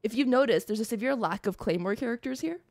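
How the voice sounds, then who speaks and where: A woman's voice: a little high, animated, fast talker, a little mellow, no echo, studio quality. A woman streamer chatting casually about her play in a rambling, self-deprecating way. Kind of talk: casual chat on a game livestream.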